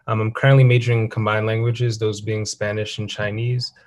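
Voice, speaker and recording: A young man speaks on a student webinar panel from a video call. His voice is fairly low and very flat in pitch, and his steady talk is dotted with fillers. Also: a little fast. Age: young adult. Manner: steady, even delivery with frequent "um". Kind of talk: casual self-introduction.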